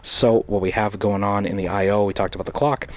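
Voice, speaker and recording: A man's voice: fairly low pitch, little pitch movement, brisk. Man with a stuffy, recovering voice. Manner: rambling, filler-heavy, enthusiastic. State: recovering voice after sinus infection. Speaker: synth module reviewer. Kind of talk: casual demo talk. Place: small home studio room. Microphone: headset mic. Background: electronic music from modules.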